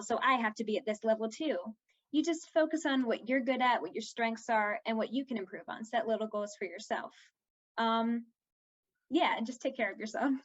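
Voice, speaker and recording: A young woman with a fairly high voice talks casually, as an actor in an interview. She is informal and says "like" very often. The audio is slightly dull and lo-fi. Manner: informal, heavy use of "like".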